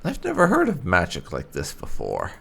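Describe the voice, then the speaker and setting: A man's voice: sits low, wildly swooping pitch, moderate pace, vocal fry, bright, forceful tone, slight background noise. A man giving casual game playthrough commentary with light roleplay, musing aloud and reacting as the host. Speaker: gaming channel host.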